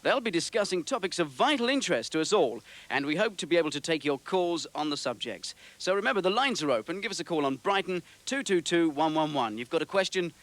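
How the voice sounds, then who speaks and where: A man's voice: a little high, expressive, fast, hardly any pauses, fairly bright tone. An actor playing a male radio announcer in a TV drama, reading listings in an upbeat presenter manner. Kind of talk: on-air announcement.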